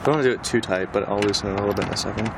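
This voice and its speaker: A man's voice: lowish voice, racing. A man chatting casually and easygoingly, with some self-deprecation, while doing hands-on installation work in or beside a car. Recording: loud vehicle and outdoor background noise.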